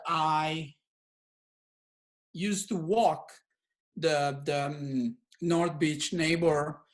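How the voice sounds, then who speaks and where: A man from Italy with an Italian accent, a filmmaker speaking hesitantly at an online community meeting over a video call, with many pauses and fillers. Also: fairly high pitch, a bit bright.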